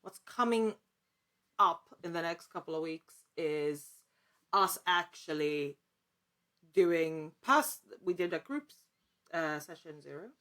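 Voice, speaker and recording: Lower-pitched woman in casual interview. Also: lively intonation, slow pace, long pauses, pushed and bright. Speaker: podcast guest, actor and actual-play storyteller.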